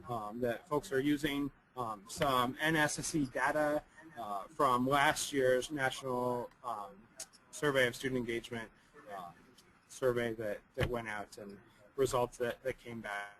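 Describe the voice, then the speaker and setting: Young male presenter, slightly raspy from a cold, halting delivery full of ums and restarts. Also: medium pitch, fairly bright tone, low-quality recording. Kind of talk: recorded conference presentation.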